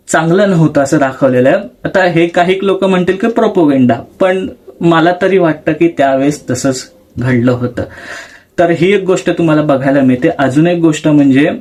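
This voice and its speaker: A man's voice: on the high side, a little mellow. A man hosting a film channel, explaining things casually and conversationally with English words mixed in. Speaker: YouTube film channel host.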